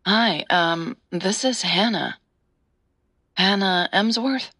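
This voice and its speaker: A woman voicing a polite, hesitant, apologetic female phone caller in an audio drama, speaking softly. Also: mid-range voice, takes it slowly, no room sound, clean studio sound, exaggerated voice. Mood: tentative. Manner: hesitant, apologetic, soft-spoken.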